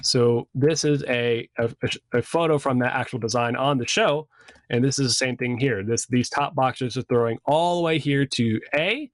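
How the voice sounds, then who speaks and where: A man's voice: medium pitch, no echo. A man in his thirties giving a casual, conversational lesson as an instructor, talking through slides. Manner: conversational, informal. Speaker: sound system design instructor.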